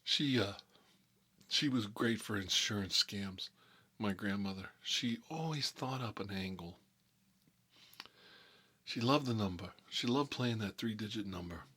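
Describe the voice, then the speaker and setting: Man performing a mob boss, lowish male voice, hot-headed, nostalgic, rambling, repetitive, colloquial, improvised character comedy. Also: a little slow, long pauses, bright, forceful tone, husky, full character voice. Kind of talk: improvised comic character monologue.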